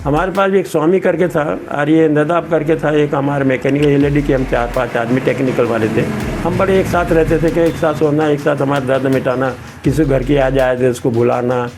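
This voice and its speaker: A man's voice: on the high side, fairly even intonation, normal speed, background noise. An elderly man in his eighties, a retired army veteran, telling his memories conversationally in a rambling way, repeating words and acting out remembered dialogue. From Betul, central India. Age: 80s. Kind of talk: conversational personal reminiscence.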